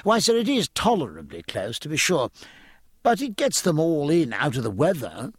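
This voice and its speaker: Eager, deferential young naval lad played by a male reader, pitched up, lively storybook delivery, husky edge. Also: moderate pace, no echo, clean studio sound, exaggerated voice. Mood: eager, polite. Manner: polite, keen, explanatory. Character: earnest, eager young midshipman, deferential, proudly nautical. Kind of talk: audiobook character acting.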